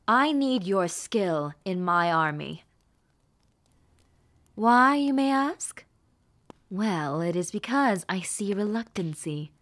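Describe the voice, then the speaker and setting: Slow, haughty villainess, acted by a woman. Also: medium pitch, a bit bright, no room sound. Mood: commanding, imperious, then persuasive, flattering. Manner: theatrical, formal, condescending, drawn-out phrasing with dramatic pauses. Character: haughty, menacing villainess, rebel mistress with sweet cruel poise. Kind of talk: scripted fantasy audio roleplay, addressing the listener.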